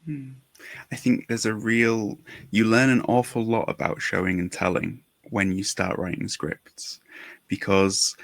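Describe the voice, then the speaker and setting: A man with a low, gravelly voice co-hosts a conversation about his craft. The sound is dry and close, and he talks in long, engaged explanations that turn into questions. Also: dry recording. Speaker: podcast co-host, writer. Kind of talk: conversational interviewing.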